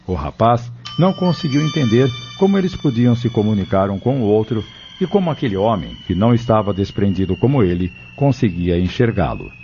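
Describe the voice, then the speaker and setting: A man's voice: fairly low pitch, big pitch swings, fairly quick, few pauses, warm tone, slight background noise. A man narrating an audio drama from a script. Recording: dull lo-fi audio drama recording.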